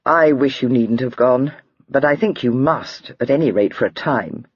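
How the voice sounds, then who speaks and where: A woman reading aloud, voicing a fond, teasing young gentleman in a breathy voice near her own. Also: extremely low pitch, normal speed, no echo, lo-fi sound.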